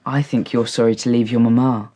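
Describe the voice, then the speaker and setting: A woman playing a kind, gentle upper-class teenage boy in a period audio drama, with a reassuring manner. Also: a bass voice, little pitch movement, brisk, husky. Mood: tender, concerned.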